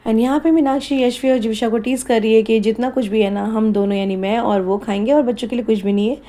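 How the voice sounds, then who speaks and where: A woman's voice: on the high side, steady tone, quick pace, dark tone. A woman narrating a family vlog in a chatty, casual way, slipping English phrases into her Hindi. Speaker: vlogger.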